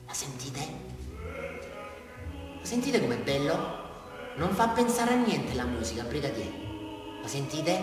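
A man's voice: a little high, big pitch swings, a little slow, long pauses. A man acting an unhinged small-town murderer with a southern Italian accent, confessing to a police brigadier in a rambling, insistent, taunting way in a theatrical radio drama. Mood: dreamy, insistent. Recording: echoey hall from far off, faint music.

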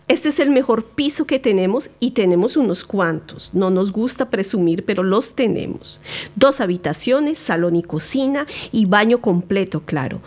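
A woman acts a business-minded rental agent in an audio drama. She is chatty and glib, pitching like a practised seller in a medium-pitched voice. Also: natural voice. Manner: salesy, glib.

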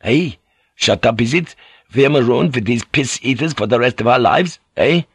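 A man's voice: medium pitch, animated, moderate pace, breathy edge, no echo, studio quality. A man voicing a frantic, foul-mouthed sailor in an audiobook, ranting and blustering as he cracks into madness. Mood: suspicious, irritated. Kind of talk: dramatic audiobook character voice.

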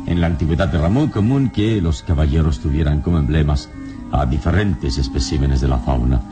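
An actor playing a calm, erudite adventurer hero in an old-time radio drama, speaking in a measured, deliberate, didactic way. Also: male voice, a bass voice, over music. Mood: calm, instructive.

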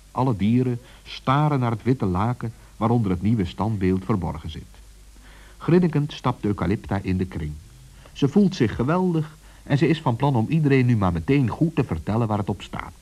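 A man narrating a scripted children's story in an expressive storytelling manner. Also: mid-range voice, normal speed, breathy edge.

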